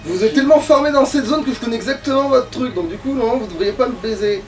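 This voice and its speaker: A man's voice: very high voice, fast talker. A man giving casual, crude, grumbling commentary as he plays a video game. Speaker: let's player playing a video game. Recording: distant mic, music behind.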